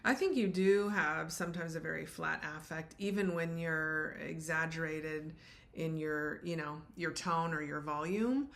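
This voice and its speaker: A middle-aged woman co-hosting a podcast, talking in a reflective, conversational way and often affirming with soft murmurs. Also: low-pitched, measured pace, gravelly. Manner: reflective, many affirming mm-hmms. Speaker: podcast co-host.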